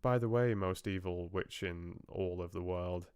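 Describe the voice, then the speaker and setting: A man's voice: low voice, measured pace, darkish tone, smooth, no echo, studio quality. A man voicing a naive, puzzled young captive woman from a fairy tale, rambling through questions. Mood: naive puzzlement.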